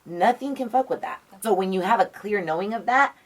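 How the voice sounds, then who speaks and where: Raspy-voiced young woman, emphatic. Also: mid-range voice, lively intonation. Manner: emphatic, motivational, quick to laugh. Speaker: interview guest, radio personality. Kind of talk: casual chat.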